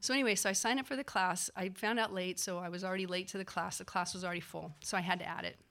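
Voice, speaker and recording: A woman, a physician assistant, telling a personal story in a casual, animated way, acting out the people she quotes, with lots of fillers. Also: fairly low pitch, racing.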